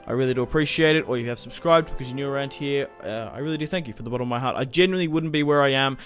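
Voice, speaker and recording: A man's voice: mid-range voice, very fast, soft background music. A young man casually commentating a strategy game he plays, chatty and rambling, swearing now and then. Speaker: gaming YouTuber. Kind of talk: casual let's-play commentary.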